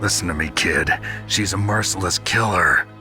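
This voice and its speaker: An actor playing a gruff, cynical, battle-hardened covert soldier in a video game, terse and sardonic with a gravelly voice. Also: male voice, low voice, normal speed, pushed and bright, soft background music. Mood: dry, gruff.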